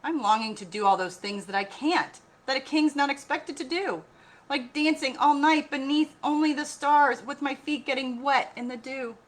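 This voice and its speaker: A woman's voice: sits high, normal speed, voice pushed up, natural voice, low-quality recording. A woman voicing a haughty elephant king who is weary of his crown, in a grand, emphatic storybook style. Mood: weary, longing.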